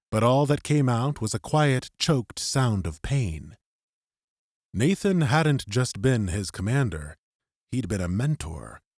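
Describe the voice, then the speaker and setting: A man's voice: fairly low pitch, dry recording, clean studio sound. A man reading dramatic scripted narration as an audiobook narrator. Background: music.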